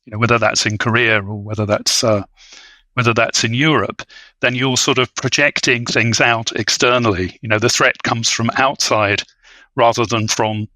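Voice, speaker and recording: A man speaking as an expert guest in an academic discussion, hesitant and scholarly, often pausing to rephrase. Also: medium pitch, fairly even intonation, pushed and bright, no room sound, rough recording. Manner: halting, hedging, scholarly, frequent "you know". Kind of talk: academic discussion on a film podcast.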